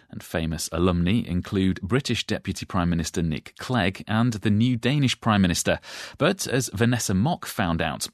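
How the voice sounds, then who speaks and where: Deep-voiced male news anchor, dry studio. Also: no echo. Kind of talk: scripted news presenting.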